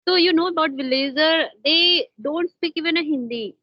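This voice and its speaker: A woman's voice: high-pitched, moderate pace, no echo, dull audio. A woman with an Indian accent who hosts an online English practice talk, speaking hesitantly with many fillers and restarts. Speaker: host interviewing a fellow learner.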